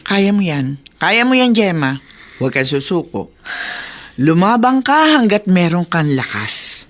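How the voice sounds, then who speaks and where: A woman's voice: lowish voice, big pitch swings, unhurried. A woman with a Filipino accent playing a firm, encouraging middle-aged aunt in a radio drama. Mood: concerned, encouraging. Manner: emphatic, admonishing, encouraging. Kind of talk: Tagalog radio drama acting.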